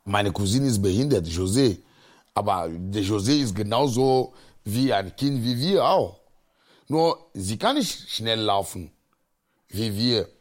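A man's voice: lowish voice, lively intonation, unhurried. A man from Africa, explaining things in a plain, repetitive way in unscripted interview speech. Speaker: interviewee reflecting on life back home. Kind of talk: unscripted explanation with personal examples.

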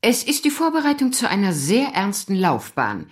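An actress in a German radio drama playing a proud, dignified upper-class mother of middle age, speaking in a stately, measured way. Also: mid-range voice, wildly swooping pitch. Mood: gently reproving.

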